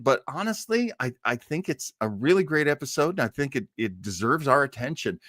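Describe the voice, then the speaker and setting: A man's voice: medium pitch, a bit bright, no room sound, studio quality. A man hosting a live web show, chatting casually to his viewers in an enthusiastic, rambling way with playful asides. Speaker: host of a live web show on old-time radio. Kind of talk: casual livestream chat to viewers.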